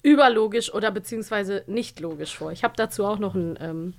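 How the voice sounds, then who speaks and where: A woman's voice: mid-range voice, brisk, a little mellow. A woman co-hosting a podcast in casual chat, giggly and enthusiastic. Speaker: podcast co-host.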